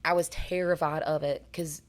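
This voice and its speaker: Young female guest, raspy alto, earnest storyteller. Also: low voice, steady tone, normal speed. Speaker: guest singer. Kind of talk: casual interview chat.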